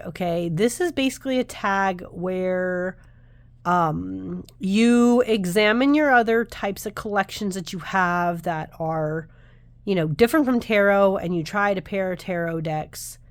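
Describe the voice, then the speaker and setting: A woman in her thirties talks casually to camera as a tarot vlogger, her voice a little nasal as she gets over the flu. She rambles and interrupts herself as she goes, at a middling pitch. The sound is dry and close, with no room echo. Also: expressive, unhurried. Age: 30s. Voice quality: slightly nasal. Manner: chatty, rambling, self-interrupting. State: recovering from flu.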